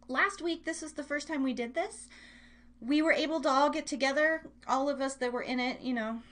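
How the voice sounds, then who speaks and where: A woman's voice: on the high side, a bit bright. A woman hosting an internet radio podcast, chatting casually to listeners in a rambling, self-deprecating way. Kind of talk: casual live chat to listeners.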